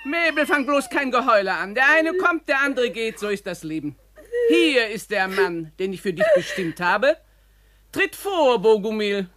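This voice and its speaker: A high, swooping voice full of upper-class command, an imperious lady mother in a radio play. She talks down to everyone with bossy grandeur. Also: very animated, normal speed, fairly bright tone, no echo. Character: domineering aristocratic mother, a lady. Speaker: Lady Westwich.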